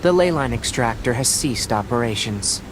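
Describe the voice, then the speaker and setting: An actor playing a calm, earnest young researcher in a video game, explaining things in a measured, considerate way. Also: male voice, medium pitch, over music, lightly acted. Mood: businesslike.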